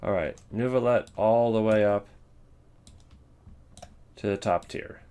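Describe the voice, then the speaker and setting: Male host, slightly deep voice, casual rambling commentary, thinking out loud, some typing and clicking. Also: fairly even intonation, slow pace, clean tone. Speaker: host ranking video game voice lines.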